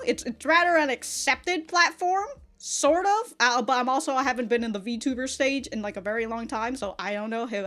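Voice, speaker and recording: Young woman doing a chatty anime reaction review, kinda high voice, giggly n slangy, music behind. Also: a bit bright. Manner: frequently swearing, informal fan slang. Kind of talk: casual rambling episode review with acted-out quotes.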